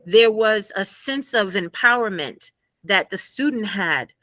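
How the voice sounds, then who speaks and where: A woman guest and former math teacher in a conversational interview, telling animated stories and laughing often. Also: medium pitch, measured pace, no echo.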